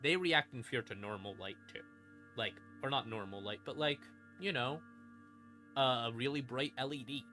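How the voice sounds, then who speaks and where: A man's voice: medium pitch, normal speed, pushed and bright. A man streaming a casual explanation of game lore, informal, with dry asides and dramatic emphasis. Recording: music behind the voice. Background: creepy ambient music.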